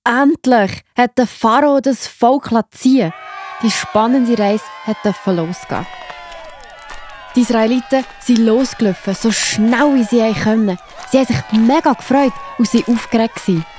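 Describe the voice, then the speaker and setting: A woman with a Swiss accent narrating Bible stories for children in an expressive, enthusiastic, simple way. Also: a little high, moderate pace. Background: music behind the voice.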